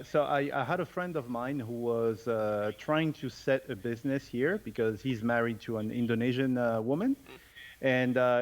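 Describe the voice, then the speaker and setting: A man from France, a podcast guest talking casually over a remote call, with lots of fillers and restarts. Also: mid-range voice. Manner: hesitant, many fillers and restarts, laughs often. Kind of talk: casual interview chat. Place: home in Bali.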